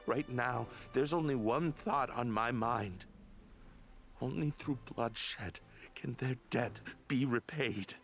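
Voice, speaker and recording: Gravelly, grieving guard captain. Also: male voice, medium pitch. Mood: bitter, vengeful. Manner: grave, deliberate. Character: stern, grieving guard captain set on vengeance. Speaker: game character voice actor. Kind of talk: dramatic game dialogue. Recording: background music under the voice.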